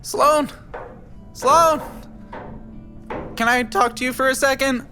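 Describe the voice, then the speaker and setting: A man's voice: extremely high pitch, fairly even intonation, slow, bright, forceful tone, faint music behind, full character voice. An actor playing an anxious, suspicious, spindly young man in an audio drama. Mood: nervous, defensive. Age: young adult.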